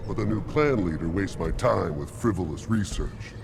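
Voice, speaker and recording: Bitter, self-important alien researcher, low pitch, grand delivery, game scene with clear rumbling noise. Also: male voice, lively intonation, normal speed. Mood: bitter, boastful. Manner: pompous, grandiose. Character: pompous, bitter alien krogan scientist obsessed with explosive weapons. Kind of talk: video game character dialogue.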